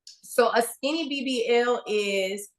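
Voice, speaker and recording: A woman's voice: on the high side, takes it slowly. Candid chatty woman in her forties. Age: 40s. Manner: candid, chatty, lots of fillers and restarts. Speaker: podcast co-host, registered nurse. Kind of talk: casual chat.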